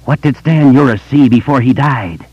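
A man voicing an ancient, cruel vampire monster that screams shrill, taunting questions in an audiobook horror story. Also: moderate pace, breathy edge, no room sound, lo-fi sound, natural voice. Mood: shrieking taunting.

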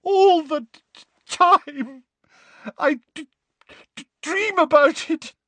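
A man's voice: takes it slowly, sharp tone, no room sound, full character voice. A man voicing a distraught middle-aged mother who sobs and stammers through tears, in an audiobook reading. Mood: sobbing. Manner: stuttering, high and strained. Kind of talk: audiobook character voice.

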